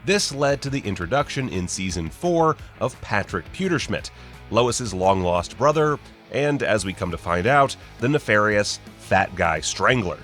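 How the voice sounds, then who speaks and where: A man's voice: fairly low pitch, animated, measured pace, a bit bright, faint music behind. A man narrating a scripted list video as host, with wry, sarcastic, joking asides. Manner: wry, sarcastic, jokey mock-casual delivery.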